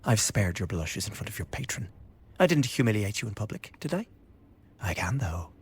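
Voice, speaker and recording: A man's voice: lowish voice, very animated, moderate pace, slightly rough, exaggerated voice. A sneering glib young man. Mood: contemptuous. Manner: sardonic, glib, contemptuous. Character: mocking, cold young man, the returning son. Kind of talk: radio drama acting.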